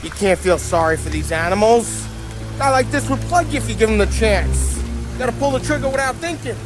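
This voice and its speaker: Brutal game gangster, American, coarse, volatile, fairly high voice, very expressive. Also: male voice, brisk, loud music behind the voice. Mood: hard, urging. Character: hot-headed, brutal, cocky gangster enforcer. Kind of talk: video game cutscene acting.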